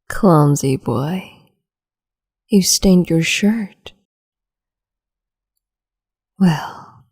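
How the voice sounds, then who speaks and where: A woman's voice: mid-range voice, mellow, full character voice. A woman playing a cruel, capricious empress in an ASMR roleplay, talking in a teasing, condescending, doting way and often whispering. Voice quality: breathy, frequent whispering. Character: cruel capricious female empress, young adult.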